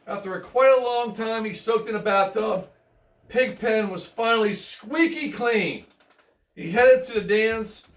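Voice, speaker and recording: A man reading a children's picture book aloud in a folksy, playful way, adding chatty asides and repeating himself. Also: sits high. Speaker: bedtime story reader. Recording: roomy, echoey, distant microphone.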